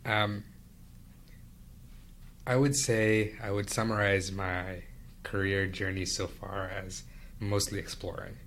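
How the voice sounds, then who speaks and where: A man who grew up in India, Venezuela and Canada, reflecting on his life as an interview guest in a measured, explanatory way. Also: lowish voice, flat delivery, fairly bright tone, a little hiss or hum. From India and Venezuela, then Canada from age thirteen. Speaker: podcast guest, business executive. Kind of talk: conversational interview, reflective personal storytelling.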